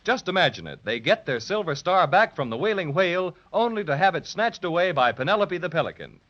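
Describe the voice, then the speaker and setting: Animated male vintage radio narrator. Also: fairly high pitch. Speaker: narrator, announcer. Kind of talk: theatrical 1930s children's radio narration. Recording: dull vintage 1930s radio recording.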